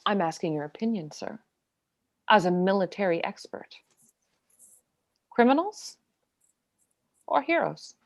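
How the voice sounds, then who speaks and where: A woman's voice: mid-range voice, big pitch swings, measured pace, pauses often. A woman playing a dogged military defense lawyer in a dramatic script reading, questioning a witness in a pointed, insistent way. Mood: pressing.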